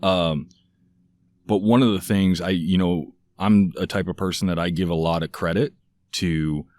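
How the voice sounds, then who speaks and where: Deep-voiced man rambling in odd metaphors. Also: steady tone, normal speed, darkish tone, dry recording, studio quality. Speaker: podcast co-host. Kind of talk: casual chat. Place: outdoors, light breeze.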